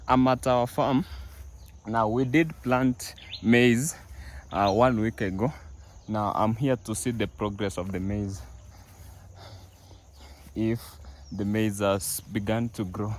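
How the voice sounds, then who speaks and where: A man's voice: lowish voice, fairly even intonation, slow pace, long pauses, a little hiss or hum. A young man vlogging from his family farm outdoors, talking casually to viewers with many fillers and restarts. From East Africa. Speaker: village vlogger. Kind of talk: casual walk-through vlog.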